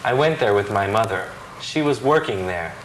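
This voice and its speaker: A man's voice: fairly low pitch, animated, moderate pace, a bit bright, a little hiss or hum, low-quality recording. A man reading the answering part in a scripted practice dialogue, matter-of-fact with a joking touch. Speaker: dialogue reader answering questions.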